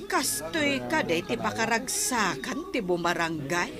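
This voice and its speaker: A woman's voice: a little high, big pitch swings, unhurried, vocal fry, music in the background, exaggerated voice. A woman playing a stubborn village councilor in a comedy radio drama, arguing her point insistently. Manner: argumentative, insistent.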